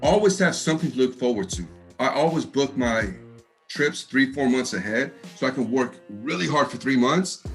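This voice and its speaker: A man from Texas with a deep voice and an East Coast lilt, chatting fast and easygoing on a video call interview. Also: expressive, soft background music. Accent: East Coast US tinge over Texan. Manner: fast-talking, laid-back, laughs often, says man a lot. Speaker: rapper interviewee. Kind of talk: casual interview chat.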